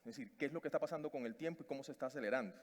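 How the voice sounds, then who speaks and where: A man's voice: mid-range voice, rapid-fire. Cuban man lecturing in a big hall. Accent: Cuban Spanish. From Cuba. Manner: hesitant, many fillers and restarts. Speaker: conference speaker. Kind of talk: conference talk. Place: conference stage in a large hall. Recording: long reverb of a large hall.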